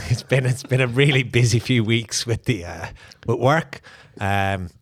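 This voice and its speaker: A man's voice: mid-range voice, measured pace, no echo, studio quality. A man with an Irish accent, co-hosting a casual cycling chat with a chatty, self-mocking manner. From Ireland. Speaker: podcast co-host.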